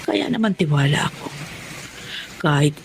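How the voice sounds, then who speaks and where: An actress playing a friendly, trusting, well-off madam who talks with approving self-assurance in a Filipino audio drama. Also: female voice, low-pitched, expressive, normal speed. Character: friendly, trusting well-off female regular customer. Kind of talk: Filipino radio drama acting. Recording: audible background noise.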